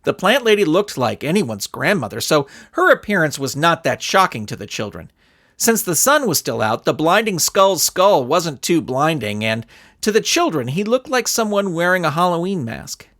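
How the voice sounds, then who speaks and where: A man reading a scripted story aloud in a theatrical, expressive storytelling manner. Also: on the high side, normal speed. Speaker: narrator and author reading his own story. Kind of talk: animated scripted audiobook narration.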